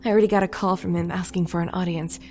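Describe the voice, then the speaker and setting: An actress playing a sharp-tongued, bossy deputy mayor in an audio drama, with a clipped, imperious manner. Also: female voice, lowish voice, fairly even intonation, racing, natural voice. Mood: brisk, irritated.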